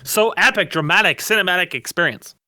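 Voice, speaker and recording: A man's voice: high voice, animated, fairly quick, sharp tone, no echo. A man co-hosting a podcast in casual, opinionated discussion, rambling and emphatic, repeating words for stress. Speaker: podcast co-host.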